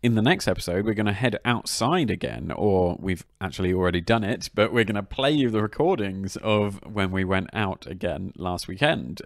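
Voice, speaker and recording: Lower-voiced chatty podcast man. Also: animated, moderate pace, gravelly, few pauses, no room sound, clean studio sound. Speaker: podcast co-host. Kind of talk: casual history podcast chat.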